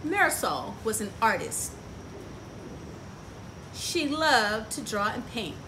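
A middle-aged woman with a fairly high voice reads a children's story in a lively, swooping way. She pauses to put questions to her young audience. She is recorded outdoors on a porch, with noticeable ambient noise. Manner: expressive, engaging children with frequent questions. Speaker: story time host. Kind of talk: picture book read-aloud for children with asides and questions.

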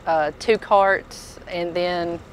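A woman's voice: lowish voice, steady tone, very slow, low-quality recording. Woman chatting over background noise. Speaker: tool truck dealer showing products. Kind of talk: casual product walk-through chat. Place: inside a packed tool truck.

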